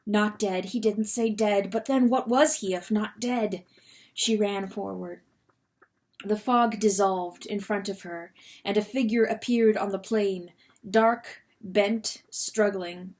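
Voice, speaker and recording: A woman reading a novel aloud as dramatic narration, expressive and full of suspense. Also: medium pitch, takes it slowly. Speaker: audiobook reader.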